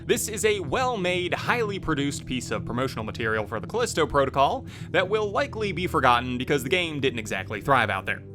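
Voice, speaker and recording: A man narrates a scripted video review. His voice is bright and a little high, with animated intonation, recorded close over faint music. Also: brisk, hardly any pauses. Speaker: host, game reviewer.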